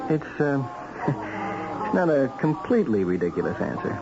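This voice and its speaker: An actor playing a breezy, worldly big spender and tempter, folksy and teasing, in 1970s radio drama style. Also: male voice, mid-range voice, big pitch swings, unhurried. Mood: sly, tempting. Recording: dull old radio drama recording, music behind.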